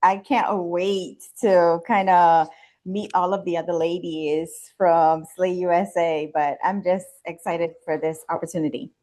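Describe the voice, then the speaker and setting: Unhurried, low-voiced woman from the Bahamas. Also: steady tone, mellow. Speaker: interview guest, wellness business owner and nurse. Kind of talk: conversational personal storytelling.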